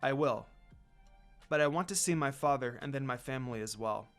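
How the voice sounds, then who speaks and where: A man voicing a gentle, anxious young woman heroine in a story reading, soft and hesitant. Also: medium pitch, brisk, faint music behind, close to an everyday voice. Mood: worried.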